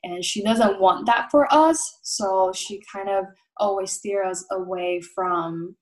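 A woman's voice: medium pitch, slow, low-quality recording. A young woman, a food blogger, chats as a guest on an interview show. She talks thoughtfully and loosely, with plenty of fillers and small restarts. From Taiwan. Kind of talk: casual interview conversation.

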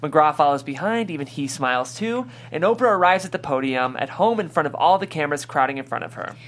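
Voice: mid-range voice, lively intonation, a little fast, fairly bright tone. Narrator reading screenplay stage directions.

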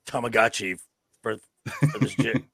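A man's voice: medium pitch, normal speed, a bit bright, breathy edge. A man in his fifties co-hosting a podcast, arguing points casually and repeating phrases for emphasis. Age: 50s. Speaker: podcast co-host. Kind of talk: casual panel chat reviewing a show.

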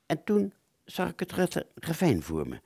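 A man acts out a wounded airman in a radio drama, confused and anxious. His speech stumbles and halts, with pitch that moves restlessly up and down. The sound is very dry, with no room around it. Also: huge pitch swings, gravelly, a little mellow, no room sound, heavily acted. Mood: haunted recounting. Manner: agitated, stammering, halting. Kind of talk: Dutch radio drama, first-person narration and dialogue.

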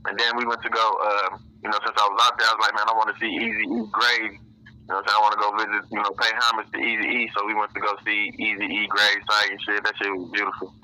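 A man's voice: on the low side, little pitch movement, quick pace, pushed and bright, poor audio. A man from Illinois, a rapper being interviewed, talking casually in loose street slang. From Illinois, USA. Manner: laid-back street slang, laughs often. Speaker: rapper, guest.

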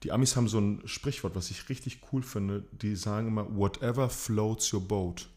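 Man in his forties, from Chile, lowish voice, hesitant, restarts sentences, self-deprecating, casual podcast guest. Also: moderate pace, a little mellow. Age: 40s. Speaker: podcast guest, actor and coach.

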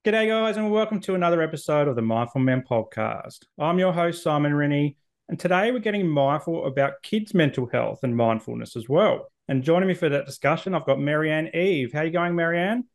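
A man's voice: a little high, expressive, a little fast. An Australian man hosting a podcast, talking as a presenter and interviewer. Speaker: podcast host.

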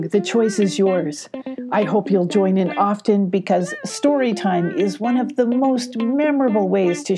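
An older woman reading a scripted children's story as a storyteller. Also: medium pitch.

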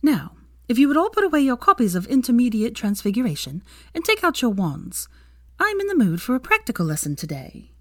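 A brisk, gleeful grown woman teacher, talking high and excitable with big swoops in pitch, as a woman reader puts her on. The sound is close and dry, with no room around it. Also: no room sound. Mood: bright. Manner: high, animated and emphatic.

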